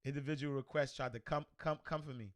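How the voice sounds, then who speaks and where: A man with a New York accent hosting a livestream, loudly and energetically answering viewer comments in casual chat. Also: mid-range voice, fast talker. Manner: loud and energetic, reads comments aloud. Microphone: desk microphone.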